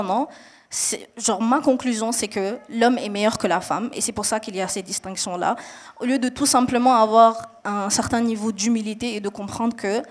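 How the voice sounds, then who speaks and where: A young woman from Mali and Niger, raised in Saudi Arabia, answering a panel question in an earnest, explanatory way with frequent hesitations and restarts. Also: fairly high pitch.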